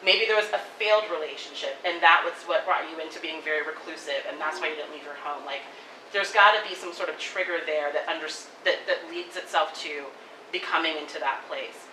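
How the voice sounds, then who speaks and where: A woman giving quick, animated spoken feedback at a live table reading, thinking aloud with lots of "like"s. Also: deep voice. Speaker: audience member giving feedback. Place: event room. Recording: echoey room, distant mic, faint noise.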